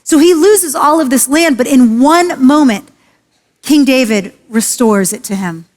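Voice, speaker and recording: A woman pastor preaching a sermon, earnest and emphatic, slowing and lowering her voice on key phrases. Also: expressive, a little mellow. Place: church sanctuary. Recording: long hall reverb.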